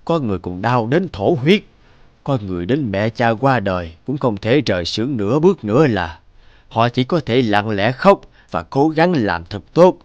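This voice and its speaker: An actor voicing a suffering, resigned old weaver in a cartoon, weary and plaintive. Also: male voice, mid-range voice, very animated, normal speed, no room sound, lo-fi sound, natural voice. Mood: weary sorrow.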